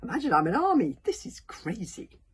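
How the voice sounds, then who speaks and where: A woman's voice: very deep voice, big pitch swings, brisk, creaky, slightly rough, heavily acted. A woman voicing an anxious, sarcastic young boy in a storybook, with a bleating, exasperated manner. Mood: nervous.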